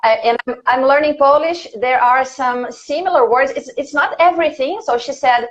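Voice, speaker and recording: High-voiced Brazilian woman, curious host. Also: moderate pace, dull audio. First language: Portuguese. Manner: chatty, curious, asks many questions. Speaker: conversation class host. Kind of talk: casual video call conversation. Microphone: video call.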